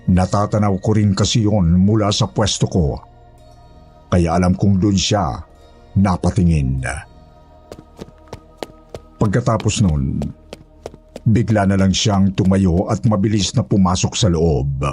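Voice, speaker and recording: A former orphanage guard, played by a man recounts eerie memories in the first person. His voice is on the low side, set over soft music as horror story narration. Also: slow, pauses often. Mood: reminiscing.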